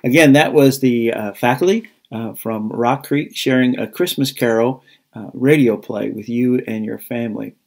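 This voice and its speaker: A man speaks informally to close a program. His voice is mid-pitched, and he talks in a halting, sincere way, like a school staff member addressing families. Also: gravelly, darkish tone. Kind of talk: informal spoken sign-off.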